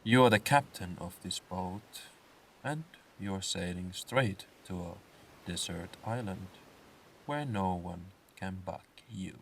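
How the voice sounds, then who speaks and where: Man, low pitch, unhurried pace, soothing guided-meditation narration, pauses between phrases, distant mic. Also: creaky, fairly bright tone, a little hiss or hum. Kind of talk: slow scripted guided meditation with comic swearing.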